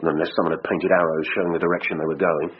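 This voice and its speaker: Low-voiced, weary British detective. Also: male voice, level tone, very fast, slightly rough, narrow, tinny audio. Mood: weary, dismissive. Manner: dry, dismissive. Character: sceptical, world-weary male police detective. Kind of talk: scripted radio drama acting.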